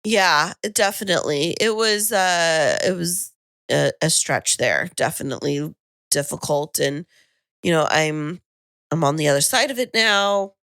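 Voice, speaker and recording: A woman co-hosting a podcast in casual chat, her voice husky and a little raspy from a lingering cold, talking in a chatty, rambling way. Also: deep voice, expressive, a little slow, fairly bright tone, dry recording, studio quality. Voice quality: slightly raspy. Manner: chatty, self-deprecating, rambling. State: husky tail-end-of-cold voice. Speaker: podcast co-host. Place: home podcasting studio.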